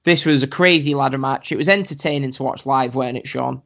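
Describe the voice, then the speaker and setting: A host talks through a wrestling show in a loose, chatty podcast style. The voice sits at an ordinary middle pitch and keeps turning to the co-hosts for agreement. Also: fast talker, creaky, a bit bright. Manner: chatty, rundown of matches with asides to co-hosts. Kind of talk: casual wrestling podcast chat.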